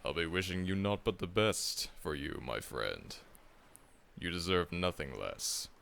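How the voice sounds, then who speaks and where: A man's voice: low voice, big pitch swings, measured pace, vocal fry, a bit bright, exaggerated voice. A man voicing a seasoned, friendly veteran monster hunter in an audio roleplay, chuckling often and teasing the listener.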